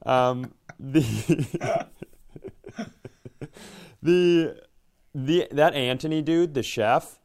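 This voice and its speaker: Clear-voiced man in casual comedic banter. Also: medium pitch, drawn-out words, long pauses, a little mellow. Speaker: podcast co-host.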